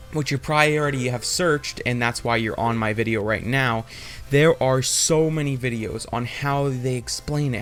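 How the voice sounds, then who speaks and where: A man hosting a casual, unscripted tutorial, explaining in an informal, rambling and hesitant way. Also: medium pitch. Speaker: tutorial host.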